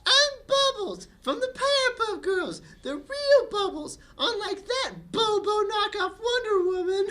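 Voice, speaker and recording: Man putting on a shrill, gushing young starlet. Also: very animated, a little slow, few pauses, bright, forceful tone, exaggerated voice. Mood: desperate showboating. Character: ditzy, fame-hungry former superhero woman, twenties.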